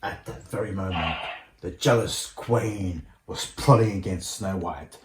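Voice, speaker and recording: A man's voice: sits low, a little slow. A man reading a storybook aloud like a father at bedtime, occasionally stumbling over the words. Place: indoor room at home. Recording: roomy home recording, faint noise.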